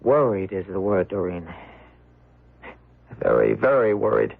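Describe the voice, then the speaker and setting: An actor playing a worried, sullen husband, grave and earnest, in a radio drama. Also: male voice, on the low side, a little slow, creaky, husky, exaggerated voice. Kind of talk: 1970s radio drama acting. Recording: muffled lo-fi old radio broadcast.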